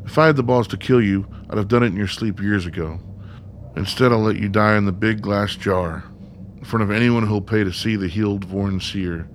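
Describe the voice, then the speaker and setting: A fairly low-voiced actor as a frustrated son in a radio drama. He is defiant, a man at odds with his soldier father, recorded with faint noise behind him. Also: brisk, close to an everyday voice. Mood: bitter, confrontational. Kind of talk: radio play acting.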